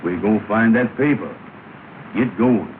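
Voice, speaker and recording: A man's voice: lowish voice, unhurried, close to an everyday voice. An actor playing a bossy, falsely confident man in an early 1930s comedy film, with folksy grammar and a scratchy old soundtrack. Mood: bossy reassurance. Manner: reassuring, commanding, folksy nonstandard grammar. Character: bossy, falsely confident man leading his scared pal. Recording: scratchy, noisy, narrow-band 1930 film soundtrack.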